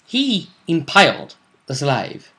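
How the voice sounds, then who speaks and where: A man's voice: fairly high pitch, huge pitch swings, takes it slowly, bright, forceful tone. Casual man recapping a game, jokey. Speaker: host of a tabletop role-play vidcast. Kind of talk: casual chat recapping a role-play game tournament.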